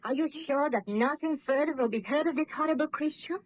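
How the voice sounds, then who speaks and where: A woman acting as an earnest young fiancée in an old-time radio horror play. Her voice is very high, and her delivery is theatrical, formal and anxiously insistent. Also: a little fast, lightly acted. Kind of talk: 1930s-style radio drama acting.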